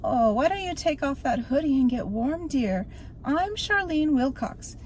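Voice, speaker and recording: A gentle, solicitous grandmother from a spooky storybook reading, voiced by a woman. She speaks a little high and fusses tenderly over a shivering girl. A soft hiss of noise sits under the voice. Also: animated, measured pace. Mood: caring. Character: kindly grandmother, warm and fussing.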